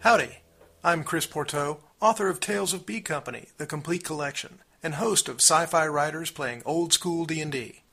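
A man's voice: a little high, moderate pace, vocal fry, sharp tone, breathy edge, soft background music. A middle-aged man hosting a podcast, delivering an upbeat intro and reading character backstories in a theatrical way. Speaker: podcast host.

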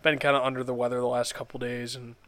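A man hosting a solo sports podcast, hoarse and stuffed up from a cold, talking through his analysis in a measured way and often restarting his words. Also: medium pitch, flat delivery, a bit bright. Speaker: podcast host.

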